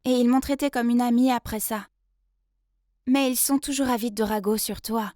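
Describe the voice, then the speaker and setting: An earnest young office intern played by a woman narrates her own dramatic story. Her voice sits on the high side, expressive and confiding, in a very dry recording. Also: normal speed, dry recording, close to an everyday voice. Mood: apologetic, pleading.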